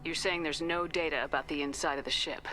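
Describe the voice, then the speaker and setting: A woman's voice: sits low, steady tone, fairly quick, bright, forceful tone, soft background music. An actress playing a firm, assertive female starship captain in a video game, direct and businesslike. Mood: firm, probing. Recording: clear background noise.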